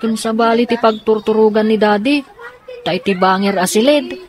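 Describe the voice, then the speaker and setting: A woman's voice: on the high side, fairly even intonation, moderate pace, lightly acted. Woman acting an insistent young daughter. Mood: troubled. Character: scheming, determined young daughter troubled by parents. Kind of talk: radio drama acting.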